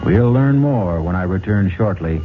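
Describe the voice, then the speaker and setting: Male mystery-show host. Low, measured, formal scripted narration, dull vintage broadcast sound. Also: animated. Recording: dull old radio recording, loud music.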